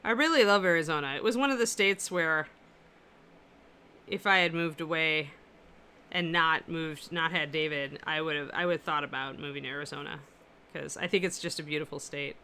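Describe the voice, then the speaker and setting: Bright-voiced woman chatting as she paints. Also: low-pitched, normal speed, slight background noise. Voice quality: powerful, carrying voice. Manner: chatty, answers viewer comments as she works. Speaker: miniature painting instructor and livestream host. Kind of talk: casual livestream chat while painting. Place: her apartment.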